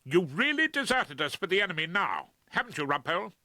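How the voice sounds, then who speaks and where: Man playing a wrathful, sharp-voiced radical woman lawyer. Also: sits high, sing-song, brisk, no room sound, pitched above the performer's own voice, exaggerated voice. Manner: indignant, sarcastic. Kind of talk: audiobook character voice.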